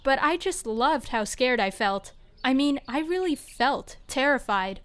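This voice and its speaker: A woman's voice: sits high, expressive, unhurried, fairly bright tone, clear, resonant tone, dry recording. A woman playing a weird, secretive lab worker in an audio fiction podcast, speaking in a polite, formal, quirky way. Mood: delighted. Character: odd, cheerful, secretive woman lab worker who relishes unpleasantness.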